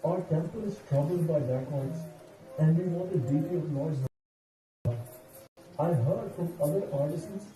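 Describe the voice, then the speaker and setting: A man's voice: fairly high pitch, moderate pace, mellow, lightly acted. A man playing an earnest, devout temple devotee on a quest in an amateur stage drama, declaiming and pleading. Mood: hopeful, pleading. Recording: echoey hall, distant mic, music behind.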